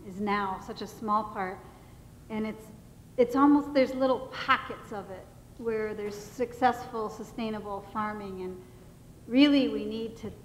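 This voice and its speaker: A woman speaking earnestly as a farmer on a panel. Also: mid-range voice. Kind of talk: panel discussion answer. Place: large hall, panel event. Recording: echoey hall with faint noise.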